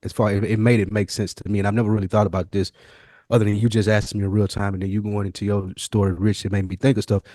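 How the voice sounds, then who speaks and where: A man's voice: lowish voice, level tone, fast, mellow, no room sound, clean studio sound. A man with an American accent speaking candidly in slangy, sometimes profane, reflective podcast conversation. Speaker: podcast co-host. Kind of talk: casual reflective podcast chat.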